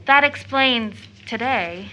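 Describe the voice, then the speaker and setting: An actress playing a tender, anxious young daughter in an audio drama, halting and gentle in her speech. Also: on the high side, animated, full character voice, low-quality recording. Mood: hesitant. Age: young adult. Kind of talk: stage audio drama acting.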